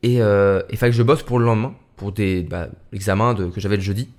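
Young guy podcasting late, kinda tired, lowish voice, lots of euh and restarts. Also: steady tone, quick pace, a little mellow. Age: young adult. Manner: hesitant, many fillers and restarts. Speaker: student podcast host. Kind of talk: casual solo podcast talk.

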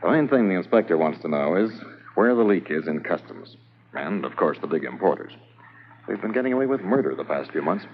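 An actor playing a brisk, businesslike police staff sergeant with a dry manner in a 1950s radio drama. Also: male voice, low-pitched, sing-song. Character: gruff police staff sergeant, male adult. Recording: muffled lo-fi old radio transcription with faint hiss.

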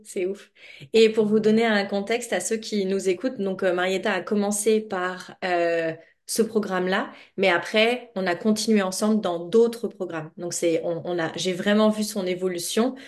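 A woman hosting a podcast interview in a casual, chatty and expressive way, speaking informally as a coach. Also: medium pitch. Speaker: podcast host and money coach.

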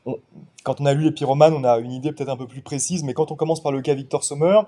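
Mellow young man musing at length. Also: fairly high pitch, steady tone. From Paris suburbs, France. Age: 20s. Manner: thoughtful, explains at length with frequent pauses. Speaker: novelist guest. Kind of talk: casual literary interview.

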